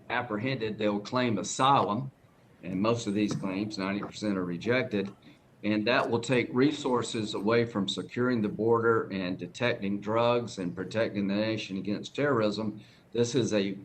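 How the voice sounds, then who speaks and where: A man's voice: fairly low pitch, a little hiss or hum. A man with a Southern accent, a senator pressing a nominee with folksy, direct questions at a hearing.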